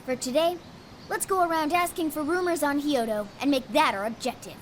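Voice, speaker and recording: Woman voicing high, decisive young team leader. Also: fairly quick, a little hiss or hum, close to an everyday voice. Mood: decisive, encouraging. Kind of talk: video game voice acting.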